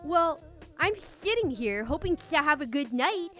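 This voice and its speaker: A woman's voice: sits high, sing-song, measured pace, fairly bright tone, soft background music, pitched above the performer's own voice, exaggerated voice. A woman voicing a petulant, cheeky, immature man from an online dating story, with an exaggerated lisp. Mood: whiny, indignant. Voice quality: exaggerated lisp, speech-impediment voice.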